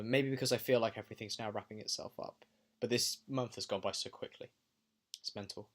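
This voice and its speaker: A man's voice: lowish voice, a bit bright. A young adult man talking reflectively in a personal video diary, pensive and hesitant as he thinks aloud. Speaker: vlogger and amateur filmmaker.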